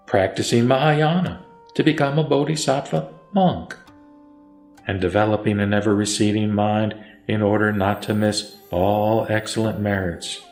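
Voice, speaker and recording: A man reciting Buddhist scripture as scripted narration, in a solemn, measured, deliberate manner. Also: lowish voice. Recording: roomy echo with music underneath. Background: background music.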